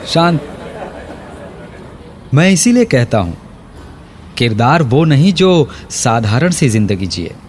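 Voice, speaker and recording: A man acting a middle-aged history professor in a Hindi audio drama, eloquent and guilt-ridden, with dramatic, weighty pauses. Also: mid-range voice, slight background noise. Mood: grand, impassioned.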